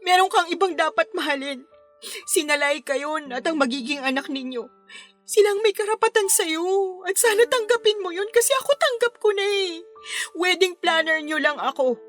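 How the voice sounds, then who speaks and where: An actress with a Filipino accent playing a heartbroken, self-sacrificing wedding planner in a radio drama, pleading emotionally. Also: female voice, very high voice, a bit bright. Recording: music bed under the voice.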